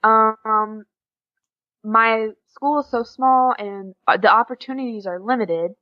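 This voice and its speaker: A woman's voice: a little high, a little slow, dull audio. A teenage American woman chatting casually as an interview guest, informal and peppering her talk with "like". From USA, small town in Oklahoma. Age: teens. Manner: informal, frequent "like" as filler. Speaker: interview guest, exchange student. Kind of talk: casual chat.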